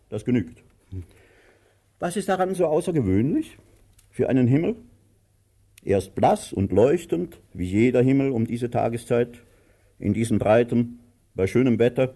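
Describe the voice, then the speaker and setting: An actor playing a cruel, pompous master of about sixty in a radio drama, declaiming theatrically and swinging between grandeur and self-pitying whining. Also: male voice, mid-range voice, very animated, measured pace, pauses often, warm tone. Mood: grandiloquent. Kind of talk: radio drama stage acting.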